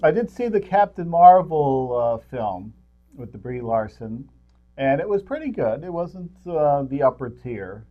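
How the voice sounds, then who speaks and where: A man's voice: mid-range voice, very animated, unhurried. Middle-aged man, dull lo-fi recording. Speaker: co-host of a movie review show. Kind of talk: casual chat about films.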